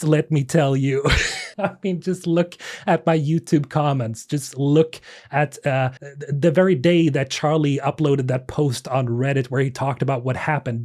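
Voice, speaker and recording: A young man with a somewhat high voice talks through gaming news in a loose, animated way. He keeps sounding incredulous, leans on fillers and laughs, and his voice has gone a little raspy and tired. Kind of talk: casual commentary video.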